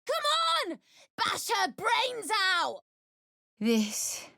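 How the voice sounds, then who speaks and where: An actress voicing a frightened, grieving young wife in a fantasy video game, pleading and tearful, breaking into anguished cries. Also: female voice, very high-pitched, huge pitch swings, extremely slow, creaky, sharp tone, no echo, clean studio sound, exaggerated voice. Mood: desperate.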